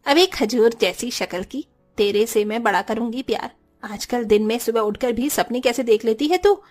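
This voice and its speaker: A woman's voice: on the high side, moderate pace, darkish tone, pitched below the performer's own voice, close to an everyday voice. A woman voicing a brash, sarcastic housewife who taunts her neighbour in a cartoon story. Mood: mocking.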